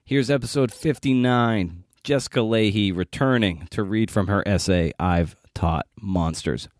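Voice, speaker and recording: A man's voice: fairly low pitch, a little slow, no room sound, studio quality. A man hosting a podcast, giving an informal introduction and speaking directly to listeners. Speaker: podcast host.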